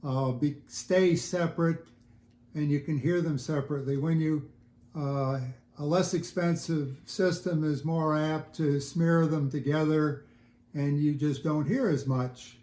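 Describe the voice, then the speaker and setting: An older man chatting casually in an interview as a hobbyist, with a halting, hesitant delivery. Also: a little high, steady tone, mellow. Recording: roomy echo, faint music.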